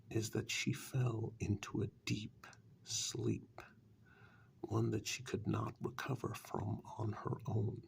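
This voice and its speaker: Man as gravelly, half-whispering sly fairytale warlock. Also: on the low side, full character voice. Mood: hushed storytelling. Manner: slow, deliberate, dryly dismissive. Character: sly, condescending dark-fairytale warlock, coolly bargaining. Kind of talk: soft-spoken ASMR role-play.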